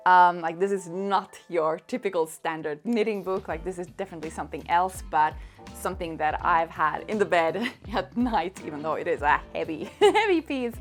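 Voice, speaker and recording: A woman's voice: on the low side, animated, moderate pace, faint music behind. A young woman whose first language is Swedish, chatting casually and eagerly about knitting books with many fillers and little laughs. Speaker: knitting vlogger. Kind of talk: casual chat.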